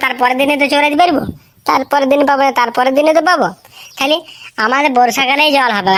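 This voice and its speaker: A woman's voice: sits high, a bit bright. A woman voicing a whiny, chatty village schoolboy in a comic cartoon, with a rural western Bengali accent and childlike lisped, rambling, repetitive speech.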